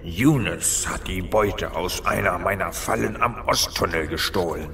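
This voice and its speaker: An actor playing a devout, courteous underground trapper creature in a fantasy audio drama, speaking formally. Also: male voice, deep voice, measured pace, creaky, fairly bright tone, heavily acted. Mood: solemn, courteous.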